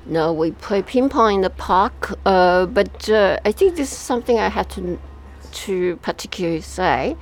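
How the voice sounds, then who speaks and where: A woman from Taiwan speaking as an interview guest in casual conversation, with halting, pause-filled non-native English. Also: mid-range voice, animated. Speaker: interview guest, media artist.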